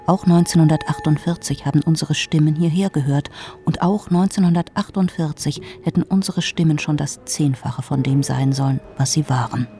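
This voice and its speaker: A woman's voice: sits low, moderate pace, warm tone. An actress playing a proud, defiant female delegate who speaks in an intense whisper.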